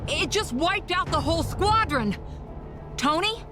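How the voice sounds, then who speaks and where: A woman's voice: very high-pitched, animated, unhurried. A woman playing a commanding superhero squadron leader in a video game, urgent and declamatory over music.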